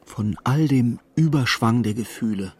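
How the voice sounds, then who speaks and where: An actor narrating a dark literary radio play as a solemn, measured storyteller. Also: male voice, medium pitch, slightly rough.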